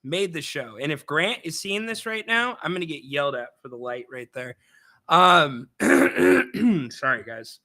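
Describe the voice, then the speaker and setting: A young man hosting a sports talk show, chatting casually, his voice a little raspy from being unwell. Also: a little high, expressive, unhurried, pushed and bright. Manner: loose, chummy, says dude a lot.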